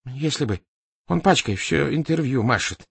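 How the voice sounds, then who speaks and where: A man's voice: mid-range voice, animated, moderate pace, vocal fry, breathy edge, no echo. A man reading an audiobook as an anxious, fawning male manager who placates and makes excuses. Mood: defensive. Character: nervous middle-ranking male manager, fawning and defensive.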